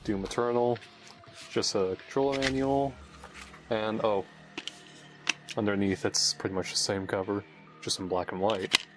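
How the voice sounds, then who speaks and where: A man with a fairly low voice gives casual, unhurried unboxing commentary. He talks very slowly, often muttering as if to himself, with music playing behind him. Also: fairly even intonation. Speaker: host of a game unboxing video.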